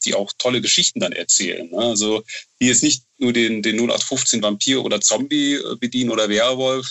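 A man's voice: medium pitch, a bit bright, dull audio. A man in his thirties chatting casually as an interview guest, often tagging his phrases with a questioning filler. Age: 30s. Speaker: horror novel author, interview guest.

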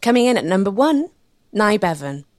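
Casting: female documentary narrator, scripted read with highly varied, expressive pitch. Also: normal speed.

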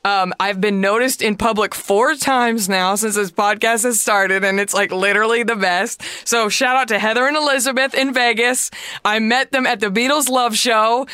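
A woman in her thirties from the United States with a deep voice, hosting a podcast in a chatty, joking way with sarcastic asides. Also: fairly even intonation, normal speed, sharp tone, clear, resonant tone, no room sound, clean studio sound. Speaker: podcast host. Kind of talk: solo podcast chat.

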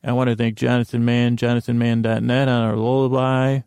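A man hosting a sleep podcast, talking in a creaky, meandering, self-deprecating monologue full of tangents. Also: medium pitch, completely flat delivery, brisk, darkish tone, no room sound, clean studio sound. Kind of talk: rambling monologue.

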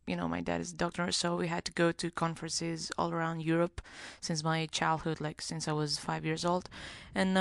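A woman's voice: on the low side, creaky, clear, resonant tone, no room sound, studio quality. A woman from Greece with a Greek accent, a native Greek speaker answering casually in an interview and searching for words. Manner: halting, searching for words in a second language. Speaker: singer-songwriter, podcast guest.

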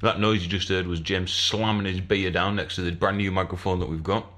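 A man's voice: sits low, fairly even intonation, fairly quick, a bit bright. A man co-hosting a podcast in casual banter, with a jokey manner and crude puns. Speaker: podcast co-host.

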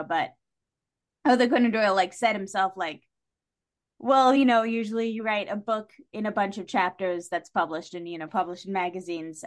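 Female podcast guest, conversational and emphatic, full of opinions, mid-range pitch. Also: a bit bright. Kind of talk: casual podcast discussion.

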